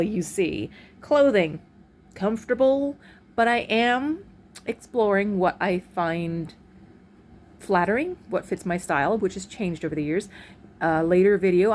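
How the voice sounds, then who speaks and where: A woman with a deep voice talking candidly in a personal vlog, frank and halting, with pauses and restarts, recorded at home on a phone camera. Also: a little mellow, a little hiss or hum. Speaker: vlogger. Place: at home, indoors. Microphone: phone camera, recorded in portrait mode.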